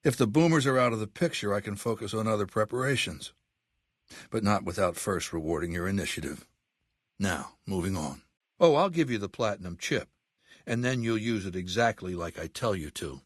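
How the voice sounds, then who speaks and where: Imperious, low-voiced older warlord. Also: male voice, animated, dry recording, studio quality. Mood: measured, authoritative. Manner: commanding, cold, deliberate formal diction. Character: imperious, ruthless ageing male warlord commanding a slaver legion. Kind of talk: video game character acting.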